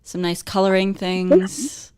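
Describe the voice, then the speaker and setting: A woman's voice: medium pitch, flat delivery, slow pace, mellow, smooth, no room sound. A young adult woman co-hosting a student radio show, chatting casually through news items and laughing often.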